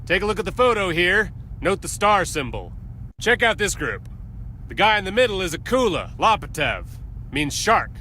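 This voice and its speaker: Sharp, blunt American military officer. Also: male voice, high-pitched, sing-song, moderate pace, exaggerated voice. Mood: brisk, urgent. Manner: blunt, commanding. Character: brisk, businesslike US Marine officer briefing his men. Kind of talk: military slide briefing in a video game. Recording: clear background vehicle noise.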